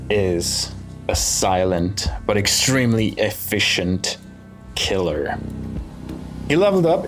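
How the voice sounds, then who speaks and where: A man giving casual gameplay commentary, thinking aloud in short, musing phrases with pauses between them. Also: lowish voice. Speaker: let's play host. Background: game music.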